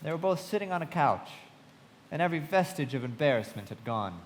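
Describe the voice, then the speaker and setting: A man playing a frank young storyteller in a live radio play, heard in a long, noisy hall reverb. His voice sits a little high and moves in lively swings as he delivers literary narration and dialogue. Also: brisk. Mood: reflective, descriptive. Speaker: narrator and actor. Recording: long hall reverb, clear background noise.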